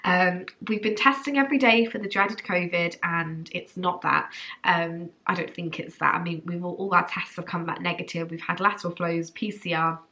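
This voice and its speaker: A young woman talks casually to camera while fighting off a cold, so her voice comes out a touch nasal and stuffed up. Her tone stays bright and forward. She rambles a little, filling gaps with ums and likes. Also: fairly low pitch, fairly even intonation, normal speed. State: congested from a cold, a bit nasal. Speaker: vlogger. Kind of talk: casual chatty update to camera.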